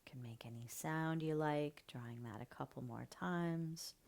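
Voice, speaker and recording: A woman leading a guided breathing meditation, speaking softly and slowly, often half-whispering. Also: low voice, gravelly, slight background noise. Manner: slow, soft and soothing, often half-whispered.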